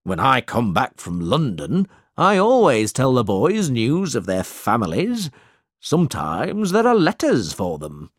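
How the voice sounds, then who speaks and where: A man voicing a cruel, boastful male schoolmaster in an audiobook story, speaking in a loud, blustering, bullying way. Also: mid-range voice, huge pitch swings, measured pace, no room sound, clean studio sound. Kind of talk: audiobook character reading.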